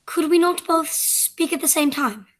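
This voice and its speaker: High-voiced prim young lady acted by a woman. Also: expressive, measured pace. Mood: earnest, smitten. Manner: prim, formal. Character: Cecily, prim, romantic young country girl of society. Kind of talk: radio play acting of period comedy.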